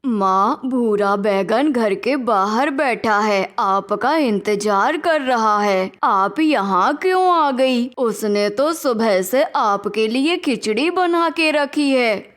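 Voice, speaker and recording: A woman's voice: a little high, animated, slow pace, hardly any pauses. A woman voicing an evasive, cowardly vegetable son and husband in a cartoon moral story, pleading in manner.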